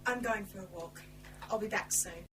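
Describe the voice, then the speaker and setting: A woman's voice: medium pitch, normal speed, natural voice. A woman playing a sullen, blunt young niece in an amateur radio play, curt and irritable. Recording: amateur recording with loud noise and hum.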